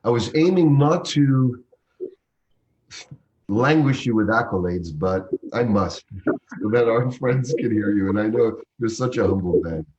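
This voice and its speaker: A man's voice: mid-range voice, animated, measured pace, darkish tone, highs cut off. A man born in Uganda hosting a livestream interview, introducing his guest with effusive, reverent praise. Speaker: host.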